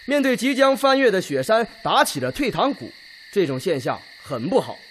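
A man's voice: high-pitched, very animated, normal speed, a bit bright, a little hiss or hum. An actor playing a stern, earnest Red Army leader exhorting his comrades in an oratorical radio drama style. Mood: earnest, admonishing. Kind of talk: Chinese radio drama acting.

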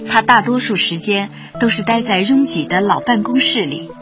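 Actress doing young lady narrator for radio drama, fond storytelling, music loud behind, bit muffled. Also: mid-range voice, normal speed, lightly acted. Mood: fond, reflective. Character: young female community worker narrating fondly. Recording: dull band-limited audio under prominent music.

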